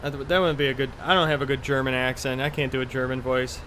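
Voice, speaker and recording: A man's voice: medium pitch, flat delivery, fast, fairly bright tone, a little hiss or hum. A man live streaming a flight simulator in casual chat, rambling in an offhand, mildly profane way.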